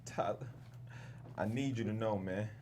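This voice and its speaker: A man's voice: lowish voice, a little slow, a little mellow, a little hiss or hum, lightly acted. A man playing a loyal, streetwise male insect in a comedy table read, slangy, sweary and heartfelt. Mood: grieving, tender. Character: emotional, loyal, streetwise male insect, grieving his friend.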